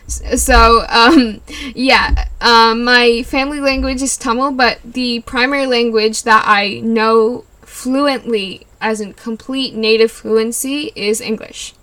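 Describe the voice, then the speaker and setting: A woman's voice: on the high side, steady tone, takes it slowly, a bit bright, poor audio. A young woman from California chatting on her solo podcast, rambling with many fillers and frequent laughs. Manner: rambling, giggly, lots of likes and you knows. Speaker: podcast host. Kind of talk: casual solo podcast chat.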